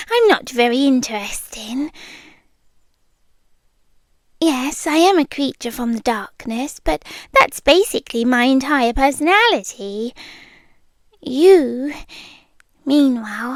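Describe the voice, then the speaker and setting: A British woman playing a shy, smitten monster from under the bed in an ASMR roleplay, speaking softly and tenderly, often in whispers, close to the microphone. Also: high-pitched, no room sound, full character voice. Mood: bashful, wry. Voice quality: soft, breathy, often dropping into whispers. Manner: slow, hesitant, tender delivery. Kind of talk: ASMR roleplay, intimate monologue. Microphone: close microphone, dry.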